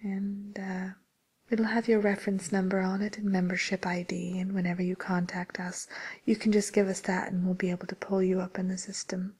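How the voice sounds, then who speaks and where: A woman playing a polite, tactful matchmaker for villains in an ASMR roleplay, with a soft, whispery voice and hesitant, courteous service patter. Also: medium pitch, little pitch movement, normal speed, breathy edge, close to an everyday voice. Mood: helpful, courteous.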